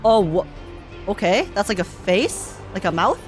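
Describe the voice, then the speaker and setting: A young woman streaming a game, reacting and reading aloud in a playful, expressive way. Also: medium pitch, unhurried. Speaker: gaming streamer. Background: game music.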